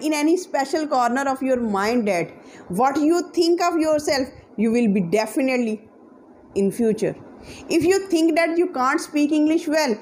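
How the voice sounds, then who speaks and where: A woman's voice: high-pitched, a little mellow, a little hiss or hum. A young woman with a Pakistani accent, a native Punjabi speaker, giving an earnest, emphatic motivational talk to camera as an English teacher, seated indoors. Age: young adult. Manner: earnest, emphatic, lots of hand gestures. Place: indoor room, seated on a sofa.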